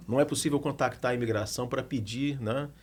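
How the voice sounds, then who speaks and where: A man's voice: mid-range voice, fast talker, darkish tone, husky. A man with a Brazilian accent explaining as a lawyer on a podcast, repeating small words as he thinks. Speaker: immigration lawyer.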